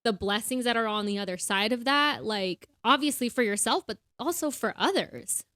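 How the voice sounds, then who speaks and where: A woman with a fairly high voice talks reflectively on a faith podcast, dotting her sentences with "like" and "you know." The sound is close and dry, with no room echo. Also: expressive, moderate pace, pushed and bright. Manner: reflective, lots of "like" and "you know", laughs easily. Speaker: podcast co-host. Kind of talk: casual faith chat.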